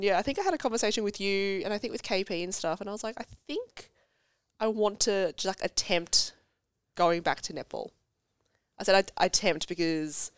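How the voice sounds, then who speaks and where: A young woman with a congested cold, chatting as a podcast guest, self-deprecating and quick to tell stories. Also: fairly low pitch, clean tone, no room sound, studio quality. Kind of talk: casual chat. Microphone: studio podcast mic.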